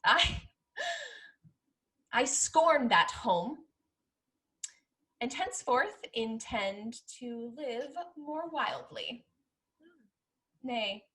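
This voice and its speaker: Woman playing a bold, high-voiced mischievous young lady. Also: fairly bright tone, heavily acted. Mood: defiant. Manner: theatrical, emphatic, archaic diction. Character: bold, mischievous young Spanish gentlewoman, scornful of men. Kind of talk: period stage comedy acting.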